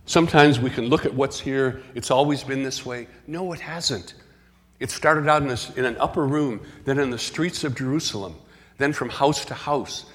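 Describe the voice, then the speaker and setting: A man preaching a sermon, earnest and measured, often restarting his phrases. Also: medium pitch, fairly bright tone. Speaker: pastor. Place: church sanctuary. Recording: long hall reverb in a church.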